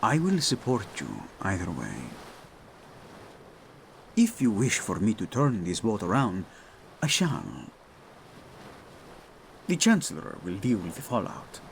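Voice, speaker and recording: A man performs a doting, playful king in a romantic audio drama. His voice has a gravelly edge and moves unhurriedly through very animated rises and falls. He speaks intimately, like a husband to his beloved. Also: fairly low pitch, slight background noise, full character voice. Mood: reassuring. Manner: tender, playful, unhurried endearments. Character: devoted, teasing Spanish-speaking king and husband.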